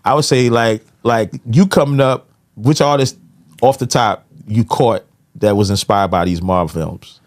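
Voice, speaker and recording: A man's voice: lowish voice, unhurried. An American man hosting a podcast, talking casually with lots of slang and conversational tags. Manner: slangy, full of conversational tags like "you know". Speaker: podcast host.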